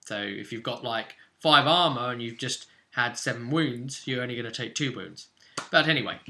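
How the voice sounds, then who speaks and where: A man's voice: mid-range voice, moderate pace, pushed and bright. A man hosting as game master, casually recapping a tabletop role-playing session in an informal, storytelling way. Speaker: game master and channel host.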